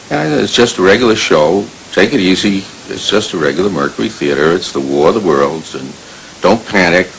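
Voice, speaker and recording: A man's voice: sits low, gravelly, a bit bright, rough recording. A man recounting his memories in conversational interview speech. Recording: faintly hissy interview recording.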